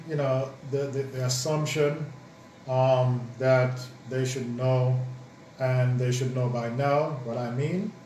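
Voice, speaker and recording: A man talks through his ideas slowly, pausing on ums and restarting sentences. His voice sits at a middle pitch and sounds set back from the mic. The room rings with echo, and a faint hiss sits under the speech. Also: darkish tone. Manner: thoughtful, halting with many ums and restarts. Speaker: co-host, married partner. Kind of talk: casual chat on marriage advice. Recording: roomy echo, distant mic, faint noise.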